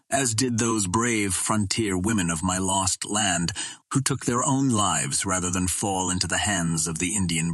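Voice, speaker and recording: Male audiobook reader for pulp adventure narration; lower-pitched, rough-edged voice. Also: a bit bright, no room sound. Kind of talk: scripted first-person narration of an adventure novel.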